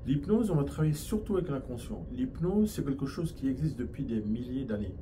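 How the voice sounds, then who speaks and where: A man's voice: medium pitch, expressive, fairly quick, mellow, a little hiss or hum. A man from France with a French accent, a hypnosis and NLP trainer explaining his field in an interview, with frequent restarts.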